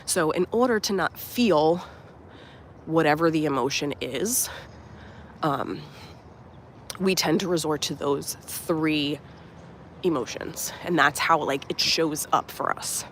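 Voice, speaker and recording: A woman's voice: deep voice, takes it slowly, pauses often, slight background noise. A young woman life coach talking casually and earnestly on a live stream while walking outdoors, slightly nasal from a cold and full of fillers. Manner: warm, earnest, reflective, many fillers like "um" and "like". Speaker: life coach and channel host. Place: outdoors at a resort at sunrise.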